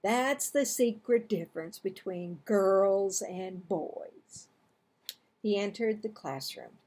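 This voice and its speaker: Storyteller, woman in her 60s: husky voice, unhurried pace, expressive children's read-aloud. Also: medium pitch. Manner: expressive, acts out dialogue and action. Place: small home room, indoors.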